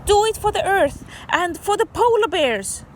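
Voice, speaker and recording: A woman's voice: very high-pitched, animated, unhurried, background noise, pitched above the performer's own voice. A woman voicing an earnest, eager young critter boy from a children's picture book. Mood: rallying. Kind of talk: expressive children's storybook reading.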